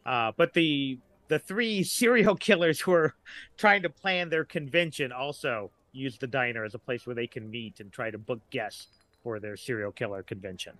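A middle-aged man speaks as a guest in a casual podcast discussion. His voice is bright and sits at a medium pitch, recorded dry. He tends to ramble through details as he goes. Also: moderate pace, no room sound.

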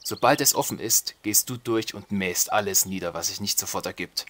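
An actor playing a flippant, bumbling medieval lord in a comedic audio drama, talking casually and sarcastically. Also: male voice, lowish voice, moderate pace, a bit bright, close to an everyday voice. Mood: brisk, planning.

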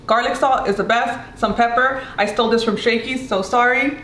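A woman's voice: fairly high pitch, fairly even intonation, normal speed. Chatty woman with a Mexican accent. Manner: chatty and playful, giggly, searching for English words. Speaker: cooking vlogger. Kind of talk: casual cooking demo chat. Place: bright home kitchen, indoors. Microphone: camera mic from a few feet away. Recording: roomy kitchen with clear noise.

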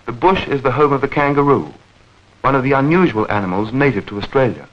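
A man narrating a 1940s educational newsreel in a measured, clearly enunciated, explanatory manner. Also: medium pitch. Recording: dull vintage 1940s film soundtrack.

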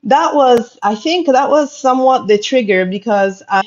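A woman's voice: high voice, animated, normal speed, darkish tone. A woman from Italy with an Italian accent, a pottery artist telling her story in an interview, thoughtful and sometimes searching for words. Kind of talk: casual interview chat. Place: indoor room with bookshelves. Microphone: headphones on a video call. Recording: slightly dull lo-fi call audio.

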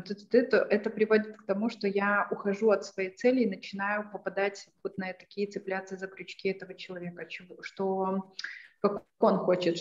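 A woman in her thirties giving an online lecture as a trainer, thoughtful and hesitant, with many fillers and restarts. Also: mid-range voice. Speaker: self-defense and assertiveness trainer. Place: small room indoors. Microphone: laptop webcam on a video call. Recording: echoey room, dull lo-fi sound.

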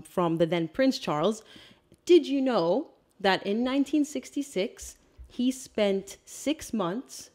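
A woman's voice: mid-range voice, a little mellow. A woman in her thirties, not Australian-born, co-hosting a casual royal news podcast, chatty and emphatic as she reads from her notes, on a studio mic in a small room. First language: not Australian by birth. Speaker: podcast co-host. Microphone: studio mic on stand.

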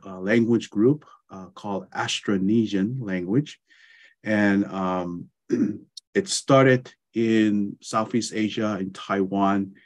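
A middle-aged man from Tonga with a Tongan accent, giving a lecture over a video call, speaking hesitantly with many fillers and restarts. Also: fairly low pitch. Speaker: guest presenter, anthropology professor. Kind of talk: online lecture with slides. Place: home study with bookshelves.

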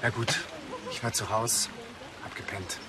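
An actor playing a surly, uncooperative photographer who is a suspect in a television crime comedy. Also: male voice, mid-range voice, steady tone, unhurried, crowd noise, lightly acted. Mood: annoyed. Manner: curt, unwilling.

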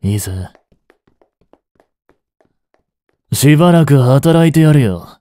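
An actor playing a rough young street punk working as a butler in an audio drama, blunt and teasing, with a grudgingly tender side. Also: male voice, a little high, expressive, normal speed, mellow, no echo, clean studio sound, lightly acted. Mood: grumbling. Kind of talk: intimate Japanese audio drama acting addressed to the listener.

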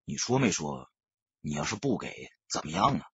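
A man voicing a quiet, coldly restrained ex-soldier gangster in an audiobook, terse and husky. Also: very animated, normal speed, dry recording, highs cut off, exaggerated voice. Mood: coldly calm. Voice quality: low, hushed, husky. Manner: terse, understated.

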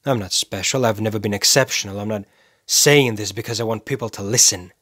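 An actor playing a cold, self-righteous serial killer in a dramatic film monologue, speaking deliberately and with menace, pausing for effect. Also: male voice, lowish voice, a bit bright, no room sound, clean studio sound. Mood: cold, controlled. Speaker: actor performing a movie monologue.

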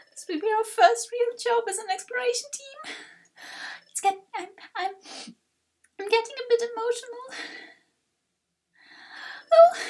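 A woman plays a childlike little fox creature from a video game, pitched very high. The voice is eager, nervous and wavers with emotion, with music behind it. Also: a little mellow.

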